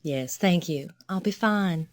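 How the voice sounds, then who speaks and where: A woman's voice: lowish voice, slow, dry recording, studio quality. An actress playing a vulnerable, confused woman with amnesia in an audio drama. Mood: subdued, questioning.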